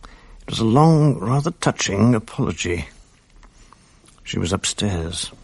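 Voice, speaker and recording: A world-weary English film man in middle age, wry and irritable, in a British radio play. His voice is low and gravelly, with a husky edge. He speaks dryly. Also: animated, takes it slowly, dry recording, full character voice. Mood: wry, reflective. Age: middle-aged. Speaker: actor, narrator of the drama. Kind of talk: 1980s British radio drama acting.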